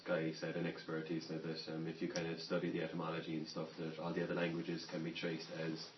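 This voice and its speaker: A man's voice: rumbling low, monotone, fast talker, noisy background. A male student in a classroom answering hesitantly and searching for his words. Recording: distant, roomy, lo-fi classroom recording.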